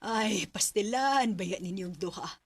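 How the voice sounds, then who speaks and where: A woman's voice: mid-range voice, measured pace, slightly rough, dry recording. A woman playing a scolding, exasperated mother in a Cebuano radio drama.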